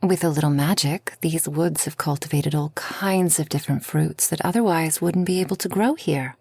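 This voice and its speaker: A woman playing a kind, long-lived forest witch in a soft ASMR roleplay, gentle and unhurried, often near a whisper. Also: low voice, vocal fry, husky, dry recording, exaggerated voice. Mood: soft, serene. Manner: gentle, unhurried, soothing, slightly formal speech.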